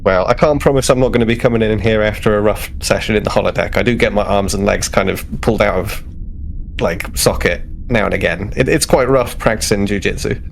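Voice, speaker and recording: Guy doing an eager young starfleet-type security lad, kinda awkward n hesitant, bit of fry in the voice, rpg game. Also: fairly low pitch, a little fast, a little hiss or hum. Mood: earnest. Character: eager, flustered young male starship security officer.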